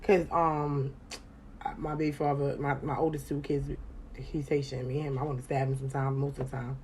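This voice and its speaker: A woman hosting a casual podcast chat, animated and profane, her voice jumping loud and high when she gets excited. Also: fairly quick, a little hiss or hum, rough recording. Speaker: podcast host.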